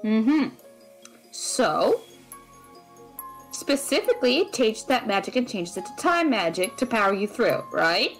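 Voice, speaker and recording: A woman's voice: on the high side, big pitch swings, measured pace, pauses often, soft background music. A woman playing a brainy, caring and exasperated female friend in a conversational audio drama, wry and fretful. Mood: patient, explaining.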